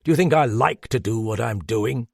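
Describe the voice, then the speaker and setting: A man's voice: medium pitch, normal speed, gravelly, breathy edge, no room sound, clean studio sound. A man reading the part of a stern male detective who talks in a firm, clipped way.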